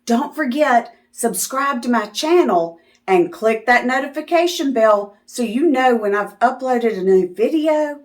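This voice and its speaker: A woman's voice: a little high, animated, a little slow. A woman reading a picture book aloud to young children in a sing-song, teacherly way, as the host of children's videos. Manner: teacherly, asking questions and affirming answers.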